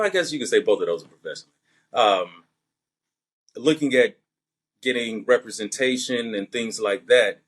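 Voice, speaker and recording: A young man with a light Southern accent, an actor answering interview questions in a thoughtful, methodical way. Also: mid-range voice. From Alabama. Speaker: actor and podcast guest.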